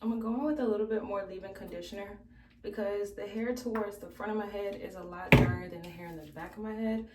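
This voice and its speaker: A woman's voice: medium pitch, steady tone, fast talker, heavy background noise. Chatty young woman in a roomy room. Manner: chatty, talking to camera while styling. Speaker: beauty vlogger. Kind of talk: casual hair tutorial talk. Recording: roomy, from a distance.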